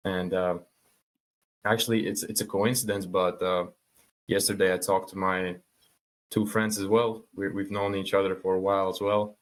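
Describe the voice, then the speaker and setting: A man's voice: low voice, flat delivery, moderate pace. A man from Latvia, chatting casually on a podcast and often repeating words as he goes. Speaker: podcast participant.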